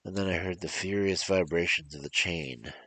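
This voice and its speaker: A man voicing a vengeful, coldly mocking murderer who tells his gothic tale in the first person, deliberately and theatrically. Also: sits low, bright, forceful tone, rough recording.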